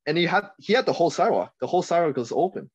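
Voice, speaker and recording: A young man from Taiwan, a podcast guest chatting casually, laid-back, laughing often and trailing off quietly. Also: fairly high pitch, animated, very fast, breathy edge, muffled recording. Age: young adult. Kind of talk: casual chat.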